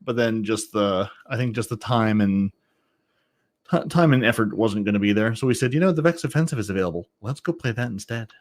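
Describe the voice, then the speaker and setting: A man's voice: lowish voice, a little fast. A man hosting a gaming podcast, chatting casually in a wry, jokey way. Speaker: podcast host.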